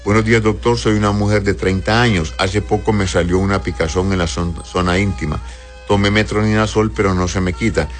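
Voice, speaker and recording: A very low-voiced man, a doctor who reads out viewers' messages and answers each one patiently. He calls people "corazón" as he advises them. Quiet music plays under his voice. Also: steady tone, fairly quick. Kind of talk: live call-in health advice show.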